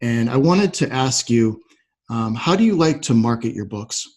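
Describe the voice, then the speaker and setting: A man's voice: medium pitch, animated, moderate pace, darkish tone. A man with a mid-range voice hosts a podcast interview. He speaks conversationally and responds to his guest in an appreciative, affirming manner. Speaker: podcast host.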